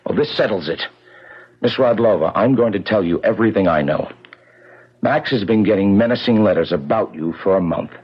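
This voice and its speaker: Radio drama: blunt protector, lowish husky voice, direct delivery, lo-fi 1970s sound. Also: male voice, animated, a little fast. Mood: firm, frank. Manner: firm, direct. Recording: dull lo-fi 1975 radio broadcast.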